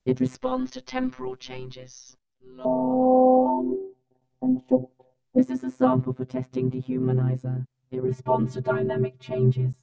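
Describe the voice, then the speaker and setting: A woman reading a scripted test sample in short deliberate statements, processed through a robotic vocoder or ring modulator effect. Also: sits high, gravelly, dark tone, dull audio, faint music behind.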